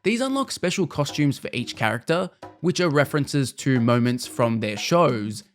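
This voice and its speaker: A man's voice: medium pitch, lively intonation, normal speed, faint music behind. A man narrating a scripted video game review in a casual, wry manner with the odd mild swear.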